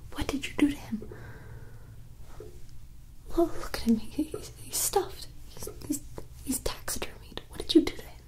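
A woman's voice: fairly high pitch, poor audio. A woman whispering as a fantasy woman puzzled by modern things. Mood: alarmed. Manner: soft whispering, dramatic and playful. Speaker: ASMR roleplayer. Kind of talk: ASMR roleplay.

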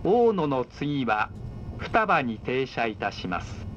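Male announcer for onboard train messages: unhurried, lively intonation, polite and formal, dull recording. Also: medium pitch. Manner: polite, measured, scripted. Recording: dull sound with faint background noise.

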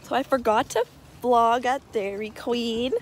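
A teenage girl from Canada with a hoarse, lost voice, chatting casually in a vlog to a handheld camera. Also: steady tone, a little slow, a little hiss or hum. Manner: low and half-whispered at home, louder and livelier on the go. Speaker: vlogger. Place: bedroom, car and school. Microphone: handheld camera at arm's length.

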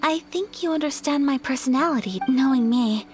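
Woman as young game noblewoman, high soft voice, gentle, earnest, polite, shyly affectionate. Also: moderate pace, faint music behind, close to an everyday voice. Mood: earnest, grateful. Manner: polite, gentle, hesitant, sincere. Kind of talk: video game character acting.

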